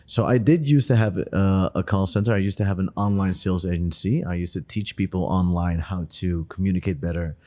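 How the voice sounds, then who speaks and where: A man's voice: a little fast, few pauses, dark tone. A man in his twenties from the Netherlands, a native Dutch speaker, hosting a podcast in a chatty, laughing way. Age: 20s. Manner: chatty, laughing, rising into higher pitch when curious. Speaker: podcast host. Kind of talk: casual podcast interview.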